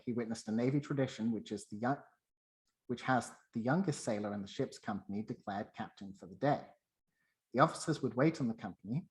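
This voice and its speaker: A man with a medium-pitched voice presents from a script. He narrates as a presenter would, telling the story line by line. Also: darkish tone, poor audio.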